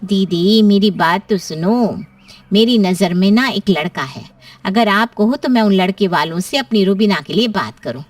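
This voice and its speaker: A woman's voice: mid-range voice, lively intonation, moderate pace, no echo, recorded from a distance, natural voice. A woman playing a reassuring, persuasive middle-aged aunt in story dialogue, emphatic and coaxing.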